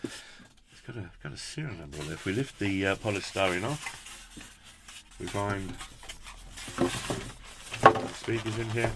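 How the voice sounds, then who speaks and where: A man's voice: fairly low pitch, measured pace, pauses often, very noisy. A British man presenting a casual hands-on product review in a chatty, jokey, effusive way with quaint turns of phrase. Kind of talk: casual hands-on unboxing commentary.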